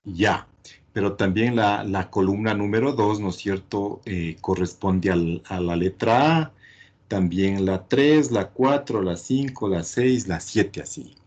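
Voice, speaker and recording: A man's voice: lowish voice, takes it slowly, darkish tone, highs cut off. A man teaching a class, didactic and often adding short check questions. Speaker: chemistry teacher.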